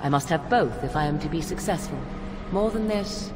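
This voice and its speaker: A woman playing a proud, secretive witch in a video game, speaking in formal, archaic phrases with a haughty, measured manner. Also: low-pitched, close to an everyday voice. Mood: grave, ominous. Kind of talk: dramatic video game acting. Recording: long hall reverb, clear background noise.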